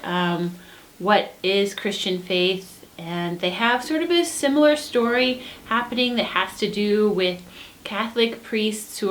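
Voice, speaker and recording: A woman speaks in a medium-pitched voice as a co-host sharing book recommendations. Her delivery is casual and conversational, with an earnest, emphatic enthusiasm for what she describes. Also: fairly bright tone.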